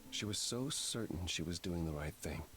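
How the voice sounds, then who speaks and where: Deep, expressive immortal. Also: male voice, moderate pace. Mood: grave, frank. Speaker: voice actor. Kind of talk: dramatic game dialogue.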